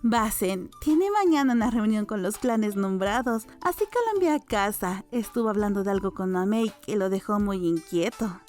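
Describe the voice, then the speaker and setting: A woman's voice: medium pitch, lively intonation, normal speed, hardly any pauses, a little mellow, soft background music, natural voice. A woman voicing a doting, playful old maidservant who fusses over and teases her young master in an audiobook. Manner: teasing, fussing. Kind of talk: dramatized audiobook dialogue.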